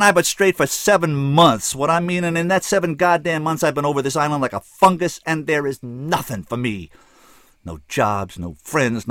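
Man acting a bitter young junkie, high and strained. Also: a little mellow, dry recording, clean studio sound, full character voice. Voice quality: raised, strained voice. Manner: ranting, pleading, slangy. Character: skinny young ex-junkie, bitter, truculent, desperate.

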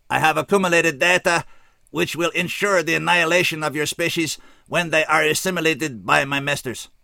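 A man voicing a towering, tormented alien scout who speaks slowly and formally with an odd, unplaceable accent, pitched well above the reader's own voice. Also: vocal fry, sharp tone, no echo, studio quality, heavily acted. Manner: slow, formal, oddly accented speech.

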